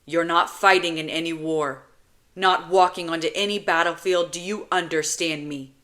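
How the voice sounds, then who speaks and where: Woman as young male soldier, stern, commanding, protective, forceful tone, slow delivery, audiobook character. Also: fairly low pitch. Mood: stern, angry. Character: angry, protective older brother, a hardened young soldier.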